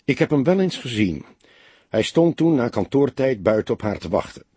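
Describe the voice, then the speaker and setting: A man's voice: mid-range voice, big pitch swings, moderate pace, mellow, slightly rough, no room sound. Een man met een Nederlands en hese stem speelt in een luisterboek een jonge kantoormedewerkster die ontwijkend en stug antwoordt. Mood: matter-of-fact. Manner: evasive, hesitant, reluctant. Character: guarded, curt young female office worker under questioning.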